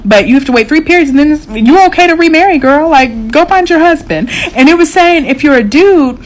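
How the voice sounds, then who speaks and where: Animated young American woman, higher-pitched. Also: a little fast, low-quality recording. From United States. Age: young adult. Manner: expressive, colloquial, slangy. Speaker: social media video creator. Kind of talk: casual chat to camera.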